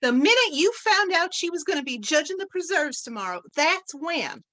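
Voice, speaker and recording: A woman's voice: very high voice, sing-song, normal speed, fairly bright tone, exaggerated voice. A woman playing a competitive, defiant small-town housewife in an old-time radio western, emphatic and catty. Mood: accusing, defiant.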